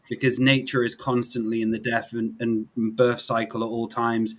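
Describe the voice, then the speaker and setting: Male talk host, medium pitch, conversational and reflective. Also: a bit bright. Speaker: show host. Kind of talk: conversational hosting, spiritual reflection.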